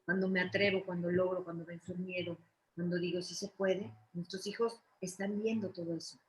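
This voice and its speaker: A woman's voice: lowish voice, little pitch movement, brisk, poor audio. A woman with a Mexican accent hosting a conversational interview in an encouraging, emphatic, motivational way. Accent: Mexican Spanish. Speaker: interview host.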